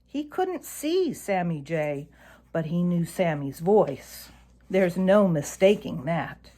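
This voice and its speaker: A woman narrating a children's animal story aloud in an expressive storytelling style. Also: lowish voice, slow.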